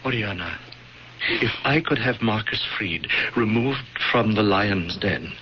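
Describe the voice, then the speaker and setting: An actor playing a stately, scheming priest in a radio drama, measured and courtly as he feigns sorrow. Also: lowish voice, expressive. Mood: insinuating, ardent. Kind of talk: classic radio drama acting. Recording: dull, noisy old radio broadcast.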